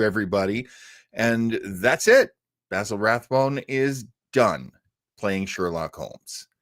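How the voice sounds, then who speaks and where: A man's voice: mid-range voice, a bit bright, dry recording, studio quality. A man hosting a casual live stream about old radio, rambling and often breaking off to search for his words. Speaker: online show host.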